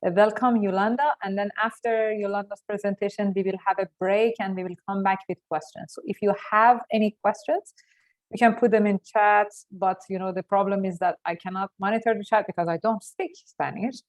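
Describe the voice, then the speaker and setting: A woman's voice: mid-range voice, fairly even intonation. Woman moderating an online workshop. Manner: friendly, many filled pauses.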